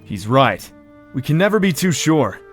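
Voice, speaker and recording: A man's voice: mid-range voice, very animated, moderate pace, faint music behind, lightly acted. A man playing an amiable cartoon pony prince with a casual, good-natured and slightly hesitant way of talking. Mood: resolved. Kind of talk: scripted audio drama acting.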